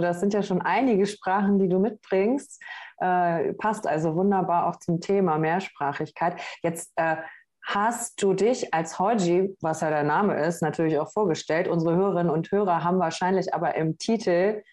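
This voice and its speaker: A woman's voice: fairly low pitch, normal speed, darkish tone. Young woman co-host, casual and enthusiastic, lots of fillers. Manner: chatty, enthusiastic, many fillers. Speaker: podcast co-host. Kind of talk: casual podcast chat.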